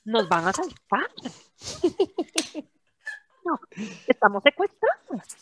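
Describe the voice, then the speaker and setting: Bright-voiced Costa Rican woman. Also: a little high, slow pace, breathy edge. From Costa Rica. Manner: animated storytelling, mimicking others' slang. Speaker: podcast co-host. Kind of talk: casual chat.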